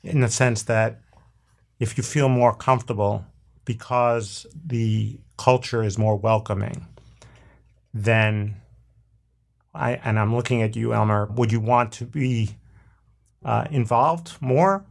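Gravelly-voiced male interviewer. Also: mid-range voice, fairly even intonation. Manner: slow, hesitant questions. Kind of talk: interview questions.

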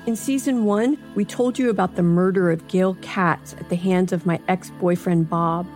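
A woman's voice: lowish voice, fairly even intonation, moderate pace, darkish tone. Woman podcast host over music. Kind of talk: scripted promo narration. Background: music bed.

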